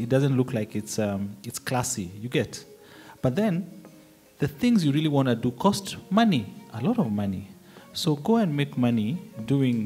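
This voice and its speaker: A man's voice: medium pitch, a little mellow. A man moderating a panel discussion in a hall, talking conversationally with fillers. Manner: conversational, fillers, asks the audience for responses. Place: large hall. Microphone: handheld mic.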